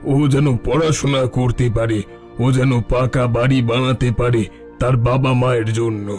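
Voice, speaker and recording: An old bandit chief from a cartoon story, acted by a man. He is tender and repentant, speaking solemnly like a father to his men. His mid-pitched voice sits over soft background music. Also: flat delivery, moderate pace, close to an everyday voice. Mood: solemn regret. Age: older. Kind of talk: Bengali cartoon story dialogue.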